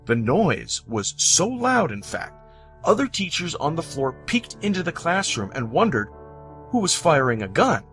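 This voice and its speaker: A man from the United States narrating scripted personal stories, with dramatic, deliberate phrasing and pauses. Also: fairly high pitch, very animated, fairly bright tone. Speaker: storyteller. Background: background music.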